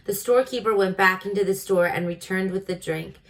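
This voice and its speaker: A woman's voice: mid-range voice, fairly quick. A woman reading a children's story aloud, adding informal, chatty asides to her listeners.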